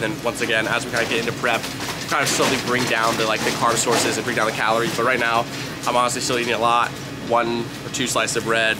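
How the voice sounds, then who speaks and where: A congested young man with a nasal voice, chatting casually as he walks through a grocery store holding his camera. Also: medium pitch. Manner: chatty, quick, rambling asides. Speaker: fitness vlogger. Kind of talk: casual vlog walkthrough. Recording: loud background music.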